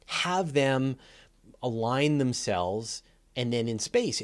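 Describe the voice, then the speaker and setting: A man hosting a live question-and-answer show, explaining things in an informal, emphatic way and repeating phrases for stress. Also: mid-range voice. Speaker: host of a live astronomy Q&A show.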